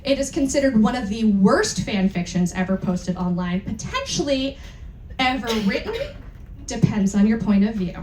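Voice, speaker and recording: A woman's voice: a little high, big pitch swings, normal speed, darkish tone. A woman hosting a live event, giving an informal, candid and irreverent introduction to an audience. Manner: candid, irreverent, casually sweary. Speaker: event host introducing a dramatic reading. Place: indoor event room on a cruise. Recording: roomy live event sound with noticeable noise.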